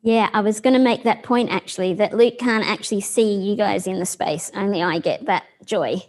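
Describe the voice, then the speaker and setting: A woman's voice: mid-range voice, normal speed, slight background noise. A woman co-hosting a live arts talk, speaking informally with frequent ums and restarts to a room of people. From Australia. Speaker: arts organisation leader, co-host. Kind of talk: casual spoken presentation at a live event.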